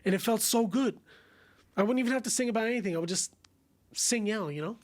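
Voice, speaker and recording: High-voiced raspy young man, casual. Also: fast. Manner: casual, animated, says bro and man a lot. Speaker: singer and songwriter guest. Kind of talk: casual chat.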